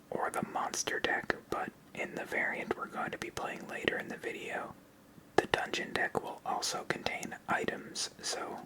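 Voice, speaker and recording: A person of unclear sex giving a whispered ASMR tutorial as host, explaining step by step in a soft, unhurried manner. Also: poor audio. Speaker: host of a card game channel.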